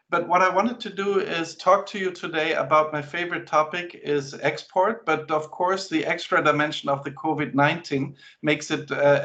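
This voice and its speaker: A man's voice: a little high, fairly bright tone. Middle-aged man, stop-start webinar talk. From Denmark. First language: Danish and German. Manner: conversational, stop-start explaining. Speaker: export sales trainer. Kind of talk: webinar presentation. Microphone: video call. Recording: slightly muffled webinar audio.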